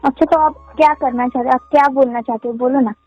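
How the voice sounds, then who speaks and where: A woman's voice: high voice, fairly even intonation, quick pace, a little mellow. A young woman playing a defensive, aggrieved girlfriend in a casual, insistent phone-call argument. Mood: indignant, pleading. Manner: insistent, questioning. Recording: muffled lo-fi call sound under music.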